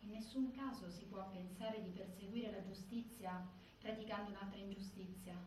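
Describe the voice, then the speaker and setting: An actress playing a resolute, grieving sister, delivering a measured, articulate stage monologue. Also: female voice, medium pitch, steady tone, darkish tone, lightly acted. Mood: grave, resolute. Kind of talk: scripted theatrical monologue. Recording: very echoey, distant, faint noise.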